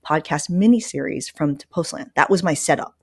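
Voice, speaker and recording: A woman with a low voice talks casually as a podcast host. She drives key points home with emphasis and repetition, and the sound is dry with very little room in it. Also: fairly quick, creaky, breathy edge, no echo. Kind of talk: casual conversational talk.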